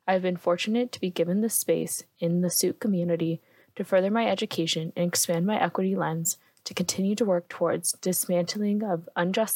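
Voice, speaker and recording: A woman hosts a podcast interview. She reads a prepared introduction in a measured, formal way. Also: on the low side, darkish tone, dry recording. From Canada.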